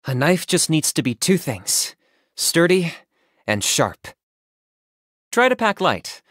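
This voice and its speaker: A man voicing a calm, pragmatic young hunter in a video game, businesslike, deadpan and composed. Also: a little high, slow pace, no room sound, studio quality, exaggerated voice. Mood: calm, reassuring. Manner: deadpan, matter-of-fact, composed. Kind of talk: video game character voice acting.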